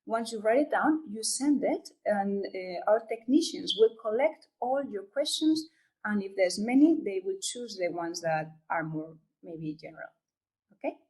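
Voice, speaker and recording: A woman from Spain with a Spanish accent, hosting an online event over a video call, talking haltingly with many fillers and asking "okay?" as she goes. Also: mid-range voice, animated, darkish tone, poor audio. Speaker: event host. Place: home or office room.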